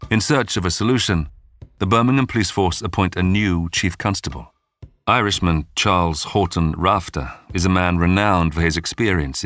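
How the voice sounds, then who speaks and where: A man narrating a scripted true-crime history documentary in a measured, dramatic storytelling manner. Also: deep voice, fairly bright tone, no echo.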